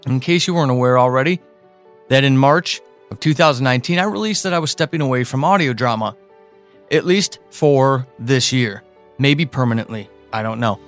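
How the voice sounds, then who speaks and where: A man hosting a podcast, making a promotional closing announcement in emphatic, deliberately phrased speech. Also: medium pitch, a bit bright. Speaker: podcast creator and host.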